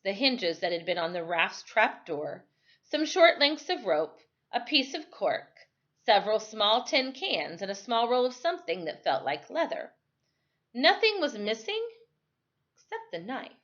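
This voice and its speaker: A woman reading a novel aloud as a storyteller, with careful, dramatic phrasing and expressive narration. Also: mid-range voice. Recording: dull, lo-fi sound.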